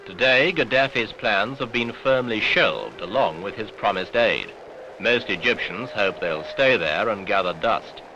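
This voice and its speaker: A man's voice: fairly low pitch, animated, unhurried, highs cut off, music in the background. A British man reading scripted newsreel narration with pointed, dramatic phrasing on an old 1970s soundtrack.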